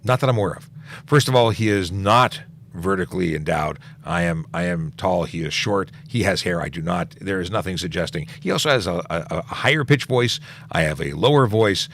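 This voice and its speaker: Brief: middle-aged male cop, deep low voice, hosting a relaxed livestream, off-the-cuff with fillers and restarts. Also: a little fast, a little hiss or hum. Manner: reads out viewer questions, then answers with restarts and fillers. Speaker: police officer hosting a Q&A livestream. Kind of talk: casual livestream Q&A.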